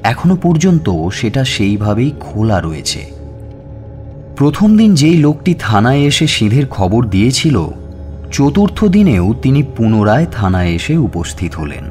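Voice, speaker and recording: An actor playing an authoritative police inspector who narrates an audio story in a measured, deliberate way. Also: male voice, mid-range voice, sing-song, a little mellow. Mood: measured recounting. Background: music.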